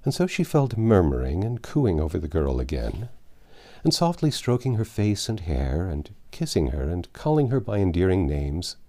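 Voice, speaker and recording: A man narrating a classic novel aloud in a measured, dramatic storytelling manner. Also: low-pitched, very animated, dark tone. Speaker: audiobook narrator. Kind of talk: expressive literary narration.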